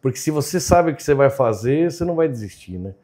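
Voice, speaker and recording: A man's voice: mid-range voice, animated, fast talker, mellow. An Argentine-born man whose first language is Spanish, a chef and entrepreneur chatting openly as a podcast guest, often laughing and tagging lines with "né?". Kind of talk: casual interview chat.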